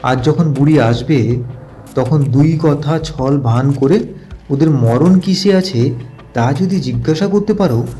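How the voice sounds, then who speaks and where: A man's voice: on the high side, slow, dark tone, faint music behind. A man voicing a young prince, fearful and earnest, in an audio fairy tale.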